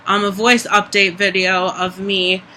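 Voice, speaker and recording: Brief: young adult vlogger, high voice, very bright tone, easy conversational delivery, light background hiss. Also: level tone, a little slow, poor audio. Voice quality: high speaking voice. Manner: candid, chatty, frequent "like" and "um" fillers. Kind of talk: casual personal vlog update.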